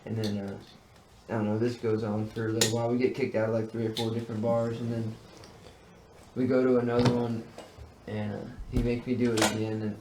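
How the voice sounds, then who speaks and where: A man's voice: lowish voice, flat delivery, a little fast. A man casually telling stories to his friends in slangy, sweary bro talk. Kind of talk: casual storytelling among friends. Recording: echoey room, clearly noisy.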